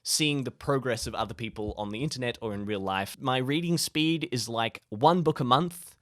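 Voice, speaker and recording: A man's voice: medium pitch, normal speed, fairly bright tone, no room sound. A man talking casually to camera like a vlogger giving friendly tips, informal and jokey with a self-deprecating streak. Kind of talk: casual, chatty advice to camera.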